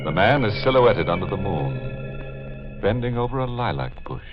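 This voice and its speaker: A man with a low, swooping voice plays sardonic host on a 1940s horror radio show. He is theatrical and mock-sinister, with organ music behind him on a muffled old recording. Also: sing-song, measured pace.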